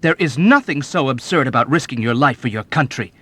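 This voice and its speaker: A scandalized young serviceman, as a male narrator puts him on in a spoken-word story. He shouts his objections in a raised, strained voice, tripping over words in disbelief. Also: on the high side, huge pitch swings, normal speed, pitched above the performer's own voice, full character voice. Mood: indignant. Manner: loud, outraged, stammering protests. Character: earnest, indignant young male soldier.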